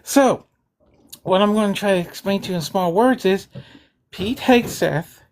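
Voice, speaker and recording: A woman's voice: mid-range voice, darkish tone, slight background noise. Sarcastic woman lecturing in a voice chat. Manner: sarcastic, lecturing, deliberately slow at times. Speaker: debater in an online voice chat. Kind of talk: heated political argument.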